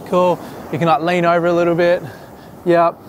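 A man in his thirties directing a beach photo shoot, relaxed and chatty, with many restarts and fillers, recorded outdoors at the shore. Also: high-pitched, normal speed, a little hiss or hum. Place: open sandy beach at sunset, outdoors. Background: music.

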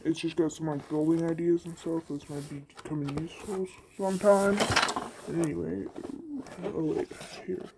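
A video creator chatting casually and haltingly through an unboxing, with the voice run through a voice changer. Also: mid-range voice, steady tone, creaky, very noisy. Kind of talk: casual chat. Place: indoors at a tabletop.

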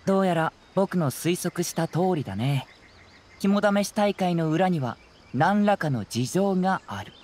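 A man voicing a calm, perceptive young gentleman investigator in a game, soft-spoken and politely probing. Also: high voice, measured pace. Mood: calm, explanatory. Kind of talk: Japanese game cutscene voice acting.

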